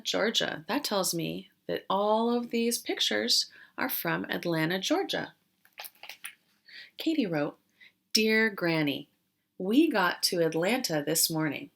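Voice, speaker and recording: A woman teacher doing an interactive read-aloud for young children, explaining as she goes and pausing after questions. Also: on the low side, vocal fry. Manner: questioning, explanatory, with pauses for answers.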